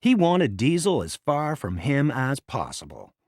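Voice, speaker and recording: A man reading a children's read-along story as a scripted, dramatic and expressive narration. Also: medium pitch, measured pace, no room sound, studio quality.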